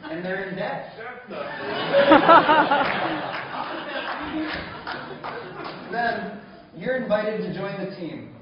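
A man's voice: sits high, animated, drawn-out words, long pauses, a loud crowd. A man giving an enthusiastic conference keynote, laughing along with the audience at times. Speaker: keynote speaker. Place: large conference hall. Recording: echoey hall, lo-fi, from a distance.

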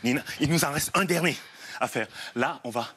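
A man's voice: mid-range voice, brisk, pushed and bright, breathy edge. A man in his thirties coaching exercise in a playful, encouraging way while moving around. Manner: physically active while talking. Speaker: fitness coach on a TV parenting show. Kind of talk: demonstrating exercises to a mother and young child. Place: living-room style TV studio. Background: music.

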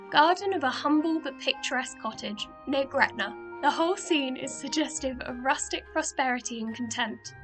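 A woman reading scripted narration for a radio play, announcing credits and stage directions. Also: high voice.

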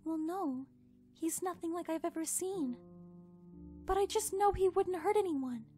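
A woman voicing a gentle, shy, earnest young pony in an animated fan drama, soft-spoken and hesitant. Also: extremely high pitch, unhurried, faint music behind.

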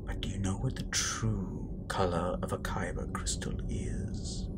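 A man playing a menacing, commanding Sith master who guides an apprentice in a breathy, deliberate half-whisper, in a scripted roleplay audio. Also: sits low. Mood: solemn, instructing. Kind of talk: scripted roleplay meditation audio, intimate address.

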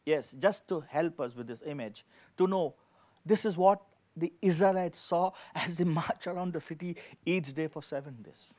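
A man's voice: on the high side, moderate pace, a little mellow. A middle-aged man preaching a sermon as a pastor, emphatic, repeating key phrases and asking rhetorical questions. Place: indoor studio with dark backdrop, at a lectern. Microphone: handheld microphone.

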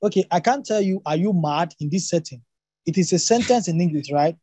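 A man's voice: high voice, animated, fairly quick, warm tone, breathy edge, no room sound. A man whose first language is Yoruba, explaining emphatically in a spontaneous academic discussion, often repeating and rephrasing himself and posing rhetorical questions.

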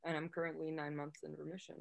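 A woman's voice: low voice, little pitch movement, quick pace, gravelly. Young American-born woman on a panel. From United States. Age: 20s. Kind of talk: video call panel talk.

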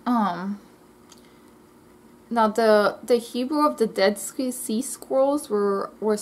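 Young woman vlogging, slightly gravelly. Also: fairly high pitch, slow pace, a little mellow. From Israel. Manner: chatty, reads notes with frequent ums and self-corrections. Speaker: language vlogger. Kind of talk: casual educational vlog. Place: small bedroom, indoors. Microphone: laptop webcam mic.

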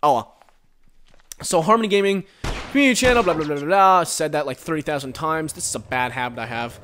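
A man giving casual, rambling commentary while playing a game, recorded on a headset and reacting loudly to the action. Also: on the high side, fast, slight background noise. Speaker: gaming commentator.

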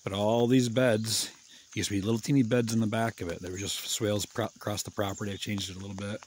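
A man's voice: on the low side, fast talker, a little hiss or hum. A man in his fifties gives a casual, rambling walking tour of his hillside garden, talking into a phone held at arm's length outdoors. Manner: relaxed, rambling, plain-spoken. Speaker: permaculture farmer. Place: outdoors on a wooded terraced hillside.

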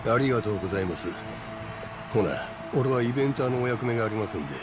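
An actor with a Kansai accent playing a tough, deferential yakuza lieutenant in a video game. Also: male voice, mid-range voice, expressive, fast talker, music in the background, close to an everyday voice. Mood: respectful. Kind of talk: cinematic video game acting.

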